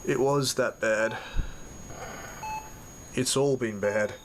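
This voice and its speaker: An actor playing a badly injured, weary man, narrating his ordeal in halting fragments over heavy noise in an audio drama. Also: medium pitch, natural voice. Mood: sorrowful, tender. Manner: halting, broken into short fragments. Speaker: narrator and lead character. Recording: loud noise behind the voice.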